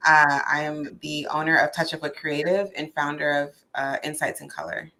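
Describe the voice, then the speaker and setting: A woman's voice: deep voice, fairly even intonation, normal speed, sharp tone, poor audio. A woman introducing herself as a panel guest on an online call, hesitant with fillers. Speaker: panel guest, business owner. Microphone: video call.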